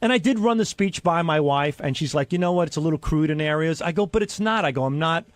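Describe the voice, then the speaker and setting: A man's voice: fairly high pitch, expressive, fast talker, dry recording. A man in casual radio banter, talking in a brash, crude and defensive way on a close studio mic. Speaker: show regular telling his side.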